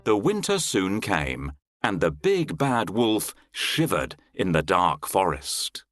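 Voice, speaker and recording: A man narrating a children's fairy tale in a measured, expressive storytelling style. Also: low-pitched, faint music behind.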